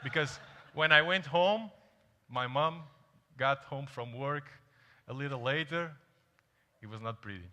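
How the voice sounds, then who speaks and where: Bright-voiced young man on a big stage. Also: medium pitch, a little slow, long pauses. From Portugal (Azores). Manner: animated, storytelling, asks the crowd questions. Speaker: keynote speaker. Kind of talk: energetic conference talk. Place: large dark stage. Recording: hall reverb on stage.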